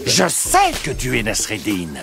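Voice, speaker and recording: An actor playing an officious, easily flustered vizier in a French children's cartoon. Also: male voice, medium pitch, wildly swooping pitch, measured pace, vocal fry, exaggerated voice. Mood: probing.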